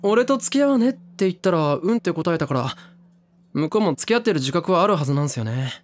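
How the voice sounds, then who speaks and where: A man's voice: on the high side, big pitch swings, fast. An actor in a drama CD playing a reckless, thrill-seeking young man who talks in slangy, clipped casual speech. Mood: candid. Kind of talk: drama CD acting. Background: background music.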